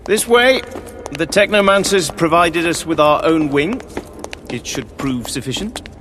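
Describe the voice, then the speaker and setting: An actor playing a polite, obliging aide with a formal manner in an audio drama. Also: male voice, on the high side, expressive, unhurried, a bit bright, a little hiss or hum. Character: brisk, obliging male aide to a leader.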